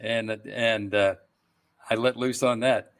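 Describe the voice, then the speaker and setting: A man's voice: lowish voice, steady tone, slow, fairly bright tone. An older man chatting casually as a guest actor in an interview, easygoing and given to chuckling. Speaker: voice and stage actor, podcast guest.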